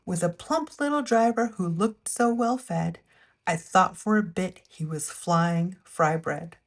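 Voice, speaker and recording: A woman reading a rhyming picture book aloud as a storyteller, with an expressive, measured storytime delivery. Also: fairly low pitch.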